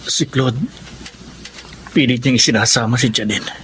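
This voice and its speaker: A man's voice: medium pitch, fairly even intonation, moderate pace, a little hiss or hum, natural voice. An actor with a Filipino accent playing a mild, grateful young man in a radio drama. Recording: loud music behind the voice.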